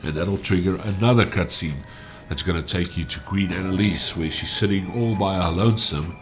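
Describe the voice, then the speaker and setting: Low-voiced man, stuffy. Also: normal speed, slight background noise. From South Africa. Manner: relaxed, explanatory, with frequent "uh" fillers. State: stuffy from a cold. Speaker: gaming channel host. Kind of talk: gameplay walkthrough commentary.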